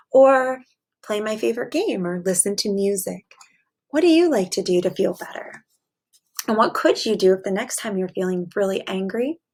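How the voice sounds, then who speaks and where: A woman teacher reading aloud and chatting casually to young children in an encouraging way. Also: fairly high pitch. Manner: encouraging, asks the listeners questions.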